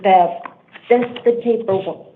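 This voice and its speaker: A woman from Arizona, a defendant in a court hearing, who talks haltingly and stumbles over her words. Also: medium pitch, a little hiss or hum. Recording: tinny court livestream audio.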